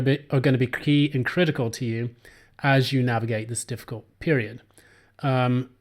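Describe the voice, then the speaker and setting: Guy reading tarot cards, kinda hoarse, rambles with lots of ums, middle-pitched voice. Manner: rambling, many filler words and restarts. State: hoarse, voice still recovering. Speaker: tarot reader. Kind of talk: casual solo tarot reading.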